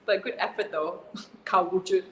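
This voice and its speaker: A woman's voice: lowish voice, normal speed. Chatty Malaysian woman in an echoey room. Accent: Malaysian English. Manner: animated, laughs often, many "you know" fillers. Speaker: singer guest. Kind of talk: casual interview chat.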